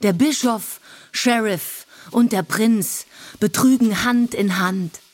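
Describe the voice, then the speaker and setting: A woman's voice: mid-range voice, slow, darkish tone. A woman performing rhymed, mocking verse in a story production.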